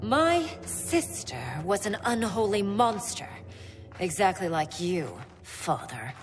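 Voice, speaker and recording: A woman's voice: medium pitch, big pitch swings, takes it slowly. A woman playing a defiant young warrior princess who speaks with cold contempt. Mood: contemptuous. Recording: distant voice over loud music.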